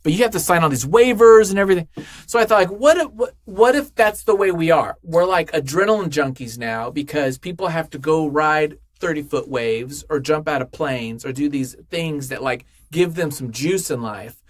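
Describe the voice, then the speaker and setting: A man's voice: a little high, normal speed, no echo. A man chatting casually as an interview guest, telling things in a rambling way and acting out imagined quotes. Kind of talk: casual chat.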